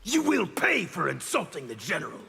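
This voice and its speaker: A man playing a fierce, savage soldier loyal to his warlord in a fighting game, with a raspy growl. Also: a little high, wildly swooping pitch, a little fast, pushed and bright, full character voice. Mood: menacing loyalty. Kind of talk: video game fight intro banter. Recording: long hall reverb.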